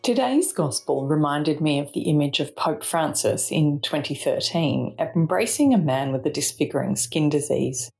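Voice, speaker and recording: An Australian woman reading a scripted spiritual reflection in a measured, reflective way. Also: sits low, lively intonation, gravelly, mellow, soft background music. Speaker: reflection speaker, charity mission partner.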